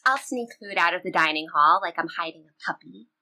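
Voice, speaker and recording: A woman's voice: lowish voice, animated, brisk, bright, forceful tone, exaggerated voice, rough recording. A woman playing a loyal, upbeat seventeen-year-old girl in an audio play, reassuring and playful in everyday dialogue. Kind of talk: audio play reading, conversational teen dialogue.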